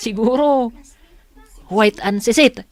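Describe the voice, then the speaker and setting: An actress with an Ilocano accent playing a mocking, teasing schoolchild in a radio drama. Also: female voice, fairly high pitch, very slow.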